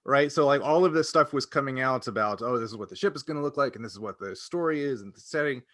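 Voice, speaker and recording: A man's voice: medium pitch, fast talker, fairly bright tone, no echo. A man chatting casually as a podcast co-host, reminiscing in a rambling, hesitant way that often doubles back on itself. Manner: rambling, hesitant, self-interrupting. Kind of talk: casual chat, personal reminiscing.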